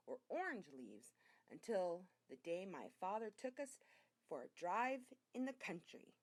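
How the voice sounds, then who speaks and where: A woman reading a children's story aloud as a narrator, in an expressive storytelling way. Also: medium pitch, no room sound. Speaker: reader.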